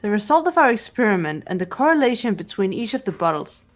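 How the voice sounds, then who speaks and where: A woman playing a cool, analytical teenage girl detective in a game voice-over, speaking in a measured, serious way. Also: medium pitch, sing-song, natural voice. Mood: quietly confident. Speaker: amateur voice actor. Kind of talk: fan voice-over of a visual novel game. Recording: game music under the voice.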